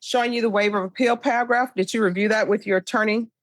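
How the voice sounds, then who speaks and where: An American woman speaking as a judge, putting formal procedural questions in a brisk, authoritative, rote manner. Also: on the high side, steady tone, no echo. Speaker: judge presiding over a plea hearing. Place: courtroom.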